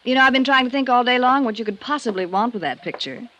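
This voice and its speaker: Quick-talking evasive radio-drama widow. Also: female voice, a little high, lively intonation, fairly bright tone. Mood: guarded politeness. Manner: hesitant, polite, evasive. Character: evasive, guarded widow feigning innocence, polite. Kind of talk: vintage radio drama acting. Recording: noisy old-time radio recording with clicks.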